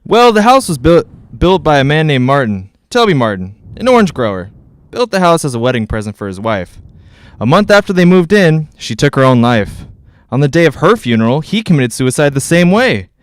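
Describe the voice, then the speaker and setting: A man's voice: on the high side, big pitch swings, brisk, smooth, no echo. A man playing a plain-spoken, dismissive real estate agent in a 1940s-style radio drama, telling things in a folksy way. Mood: matter-of-fact.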